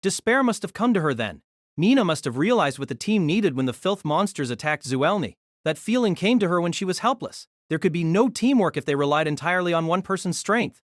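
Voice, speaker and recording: A woman's voice: on the low side, very animated, brisk, no room sound, clean studio sound. A woman narrating a scripted, dramatized story as its narrator.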